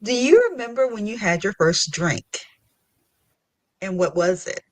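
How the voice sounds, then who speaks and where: A woman's voice: mid-range voice, big pitch swings, measured pace, no room sound. Chatty woman hosting a podcast, dry sound. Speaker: podcast host. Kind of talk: casual podcast chat and interviewing.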